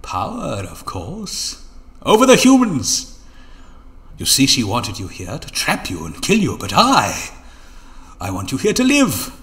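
A man's voice: medium pitch, huge pitch swings, measured pace, fairly bright tone, exaggerated voice. A man playing a mocking, theatrical villain schemer in an audio drama, silky and taunting in his florid delivery. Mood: persuasive, grandiose.

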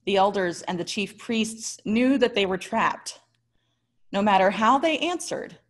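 An American woman in her thirties giving a prepared but conversational sermon as a pastor, with expressive, articulate storytelling. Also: medium pitch. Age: 30s. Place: small indoor room.

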